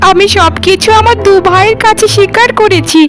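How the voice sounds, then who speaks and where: Actress as guilt-ridden young village sister for cartoon melodrama. Extremely high, plaintive, pleading voice over faint music. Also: female voice, a little fast. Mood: desperate apologetic. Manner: plaintive, lamenting.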